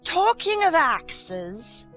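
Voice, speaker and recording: A narrator voicing a rude, bad-tempered Duchess with a hoarse growl in a storybook audiobook. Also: high-pitched, sing-song, fairly bright tone, faint music behind, pitched above the performer's own voice, full character voice. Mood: irritable. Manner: brusque, slow, snappish.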